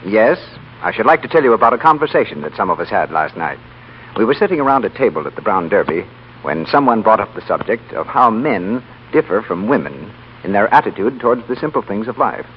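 Low male voice, polished radio pitchman, lively intonation, 1930s ad, muffled with faint hum. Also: brisk. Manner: polished, persuasive. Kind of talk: 1930s radio advertising narration.

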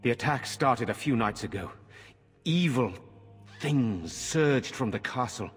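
An actor playing a grave, courteous noble lord in a fantasy game, speaking formally and earnestly in a reverberant stone hall. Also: male voice, mid-range voice, expressive, unhurried, slightly rough, soft background music. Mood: grave, urgent. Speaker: game character. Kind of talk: dramatic fantasy game acting.

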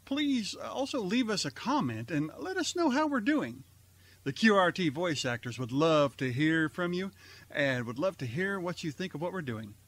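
A man's voice: high-pitched, big pitch swings, moderate pace. A man hosting an online radio theater show, giving a scripted introduction. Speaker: host and announcer of an amateur online radio theater.

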